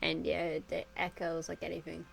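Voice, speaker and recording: A woman streamer giving chatty, giggly live commentary into a desk mic on a boom arm at home. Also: monotone, normal speed, vocal fry, slight background noise. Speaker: game streamer.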